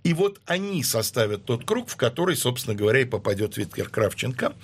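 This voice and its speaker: A man speaking as a guest historian in an interview, explaining at a measured pace with many hesitations. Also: mid-range voice, big pitch swings, husky. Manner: measured, lecturing with frequent hesitations.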